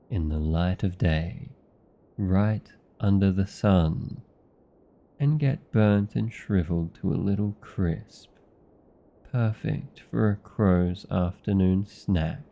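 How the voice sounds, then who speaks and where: Casting: male actor as weary, aristocratically haughty vampire lover, British accent, gravelly voice, intimate ASMR roleplay delivery, slightly dull recording. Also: low voice, heavily acted. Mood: exasperated, scolding. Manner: slow, deliberate, theatrical, condescendingly affectionate.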